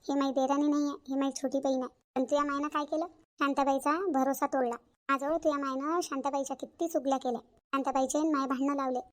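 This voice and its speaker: A woman's voice: high-pitched, natural voice. A woman playing an outspoken village aunt in a cartoon family drama, with a Varhadi Marathi accent from Vidarbha and an emphatic, repetitive, colloquial way of talking. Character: outspoken village aunt, sister-in-law, frank peacemaker.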